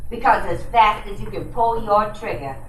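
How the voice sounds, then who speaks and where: A woman playing a cold, conniving bad-girl gangster in an old-time radio detective drama, taunting and deliberate. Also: mid-range voice, lightly acted. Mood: smug, menacing. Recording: echoey room from a distance, faint noise.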